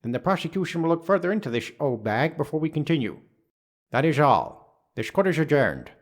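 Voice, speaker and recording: A man's voice: a little high, very animated, a little fast. A man playing a pompous, easily baffled old judge in a courtroom game, speaking formally and ponderously. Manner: formal, ponderous, puzzled questioning. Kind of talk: dubbed courtroom game acting.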